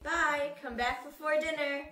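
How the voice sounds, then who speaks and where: A woman playing a practical, brisk mother of young children in a radio play. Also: sits high, fairly even intonation, bright, forceful tone. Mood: brisk, motherly. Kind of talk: amateur radio play acting. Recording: roomy, echoey sound.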